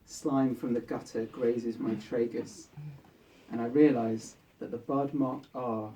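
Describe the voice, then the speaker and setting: A man reads literary fiction aloud to a live gathering. His voice is mellow and relaxed, at a middling pitch. The microphone sits some way off, so he sounds a little distant in the room. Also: takes it slowly, slight background noise. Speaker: author reading own short story. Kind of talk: literary story reading to a live audience. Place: bookshop book launch event.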